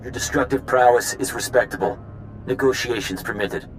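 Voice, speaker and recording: An actor playing a cold, calculating machine intelligence in a video game, speaking in clipped, formal, emotionless phrases. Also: male voice, mid-range voice, moderate pace, faint music behind, lightly acted, rough recording. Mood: cold, detached.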